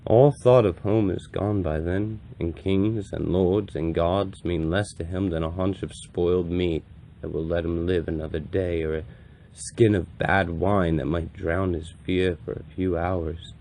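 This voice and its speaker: A man performing a grave, compassionate old wandering priest in a solemn, deliberate dramatic monologue with a gravelly voice. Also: sits low, steady tone, dark tone. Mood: mournful, intense. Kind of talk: dramatic audiobook-style monologue reading.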